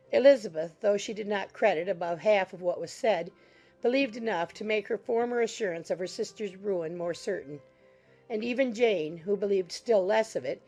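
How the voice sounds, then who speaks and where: A woman with a mid-pitched voice narrates a classic novel. She reads formal literary prose in a measured way, with faint music running beneath her. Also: a little mellow. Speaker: audiobook narrator.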